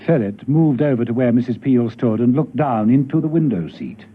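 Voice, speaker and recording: Husky male narrator, thin radio sound. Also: mid-range voice, lively intonation, moderate pace. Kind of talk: scripted radio drama narration. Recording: thin phone-like old radio recording.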